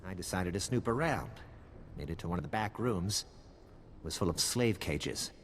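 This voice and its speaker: An actor playing a streetwise, wary and wry private investigator in a video game cutscene. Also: male voice, sits low, animated, moderate pace, long reverb tail, slight background noise. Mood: wary, urgent.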